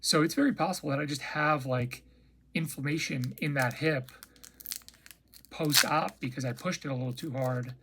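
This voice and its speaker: A man with a raspy voice giving a casual, rambling spoken update, full of ums and restarts. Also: a little high, normal speed, very noisy. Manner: rambling, hesitant, lots of fillers and restarts. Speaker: vlogger. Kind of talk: casual personal update chat.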